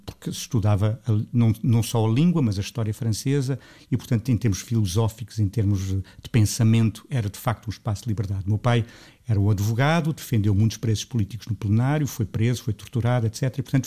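A middle-aged Lisbon man with a mellow, dark voice speaks Portuguese with a Lisbon accent as a guest on a radio interview. He is thoughtful and restarts his sentences often, and his pitch climbs as he gets animated. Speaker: composer guest.

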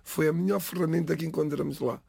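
A middle-aged man from the Lisbon area whose first language is Cape Verdean Creole, giving a halting, reflective personal account in an interview. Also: a little high, steady tone, creaky, dark tone, breathy edge, no echo, clean studio sound. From Portugal, Lisbon area. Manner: halting, restarts phrases, pauses between words.

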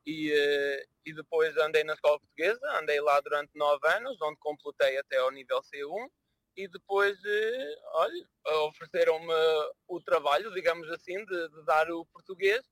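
A young man from New Jersey with a bright, forward voice, a little higher than most men's. He answers interview questions in a halting way, full of fillers and repeated words. The sound is close and dry. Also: dry recording. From New Jersey, United States. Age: 20s. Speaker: interview guest. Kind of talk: radio or TV interview.

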